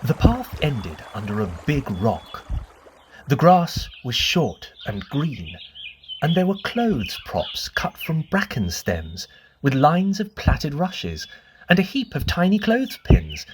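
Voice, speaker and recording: A woman's voice: extremely low pitch, very animated, noisy background. A woman storyteller narrating a children's bedtime tale expressively, drawing out repeated words for dramatic effect. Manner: deliberate, dramatic, drawn-out repetitions.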